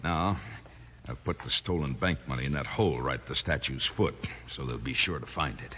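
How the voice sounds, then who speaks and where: An actor playing a vengeful, scheming outlaw in a 1950s radio Western, with menacing frontier talk. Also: male voice, very deep voice, moderate pace, pushed and bright. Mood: gloating, scheming. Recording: noisy old radio recording.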